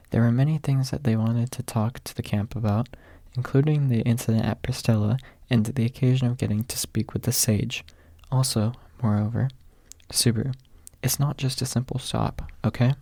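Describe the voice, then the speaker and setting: A man narrates a story in ASMR style. His voice is dark and relaxed, with plenty of fry. The sound is very dry and intimate, close to the mic. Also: mid-range voice, no room sound. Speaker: audiobook narrator. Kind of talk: ASMR audiobook reading.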